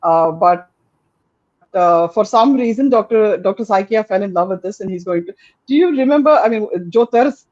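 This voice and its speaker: A woman's voice: mid-range voice, lively intonation, normal speed, no room sound. Lady hosting a chatty podcast, keeps restarting sentences, dry muffled call audio. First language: Tamil. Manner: warm, rambling, with many restarts. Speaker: podcast host. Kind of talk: casual interview chat.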